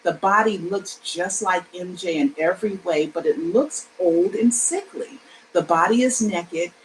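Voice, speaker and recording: A woman reading her own screenplay aloud, pausing to explain and correct herself in an informal way. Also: lowish voice, slight background noise, rough recording. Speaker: screenplay reader.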